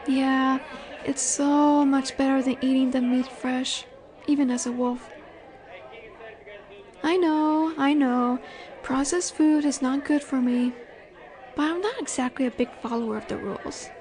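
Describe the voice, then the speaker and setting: Woman acting a high-voiced, slow-teasing werewolf alpha girl. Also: fairly even intonation, darkish tone. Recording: bar crowd ambience behind voice. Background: bar chatter ambience.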